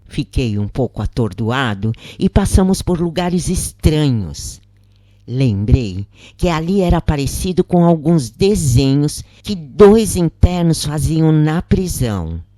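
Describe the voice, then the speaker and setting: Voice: mid-range voice, very animated, takes it slowly, warm tone, no echo. A narrator reading a scripted first-person story.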